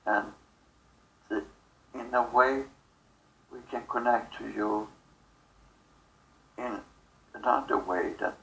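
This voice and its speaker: Male channeler, very slow and halting, fry-laden delivery, spiritual message. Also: mid-range voice, bright, forceful tone, a little hiss or hum, low-quality recording. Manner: halting, fragmented phrases with long pauses.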